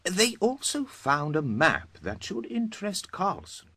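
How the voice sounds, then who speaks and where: An actor playing a grim soldier giving a briefing in a fantasy game cutscene, with a husky voice. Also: male voice, high voice, big pitch swings, a little slow, bright, forceful tone, no echo, heavily acted. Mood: grave. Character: grim soldier reporting to his mercenary commander.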